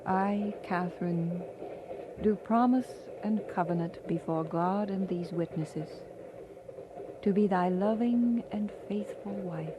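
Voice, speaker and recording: An actress as a young bride in an old radio play. She speaks in a mid-pitched voice, tender and affectionate with a gently teasing way. The recording carries clear noise. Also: slow, natural voice. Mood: solemn, loving. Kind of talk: old-time radio drama acting.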